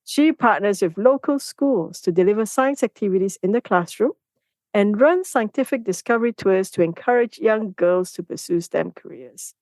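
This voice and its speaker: A woman at a middle pitch hosts a podcast interview. Her voice sounds dry and close, with no room around it. She moves from a read introduction into easy chat. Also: lively intonation, normal speed, a little mellow, dry recording. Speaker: podcast host.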